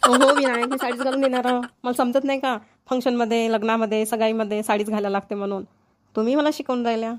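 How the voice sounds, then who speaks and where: Woman acting fast-talking, outspoken village auntie. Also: fairly high pitch, level tone, noisy background, lightly acted. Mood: insistent. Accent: Vidarbha Marathi. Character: outspoken, chatty middle-aged village woman. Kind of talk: amateur cartoon voice acting.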